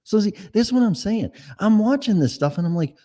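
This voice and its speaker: A man's voice: sits high, lively intonation, brisk, dark tone. A man with a Chicago accent hosting a solo podcast, ranting in a blunt, sarcastic and profane way. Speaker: podcast host.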